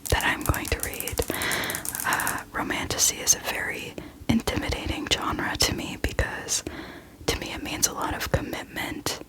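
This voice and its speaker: A woman hosting a casual whispered ASMR book chat, speaking in a soft, breathy whisper with a hesitant, self-deprecating manner. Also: moderate pace, poor audio. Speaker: book video host.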